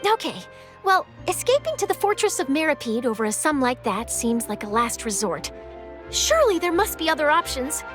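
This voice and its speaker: A woman's voice: high-pitched, very animated, moderate pace, soft background music. A woman playing an upbeat, encouraging young female reporter in an anime-style game, speaking in an eager, articulate, reassuring way. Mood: concerned.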